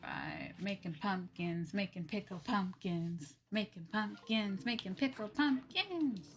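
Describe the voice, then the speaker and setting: A woman livestreamer chatting casually while she plays, sometimes musing aloud in a drawn-out, sing-song way. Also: mid-range voice, animated. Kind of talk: casual game-stream chat. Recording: music behind. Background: game music.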